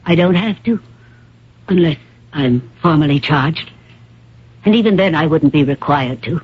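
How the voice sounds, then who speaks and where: A woman playing a proud, worldly older stage actress with a low, husky voice and poised, theatrical delivery, in old-time radio drama. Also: lively intonation, unhurried, exaggerated voice. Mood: cool, guarded. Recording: dull, muffled vintage radio recording.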